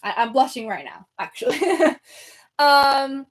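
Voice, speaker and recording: A woman's voice: high voice, sing-song, measured pace. A young woman with a Venezuelan accent chatting casually with viewers as she sorts her bookshelves, rambling with many fillers. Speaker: book vlogger. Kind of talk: casual chat with live viewers. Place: home room by bookshelves.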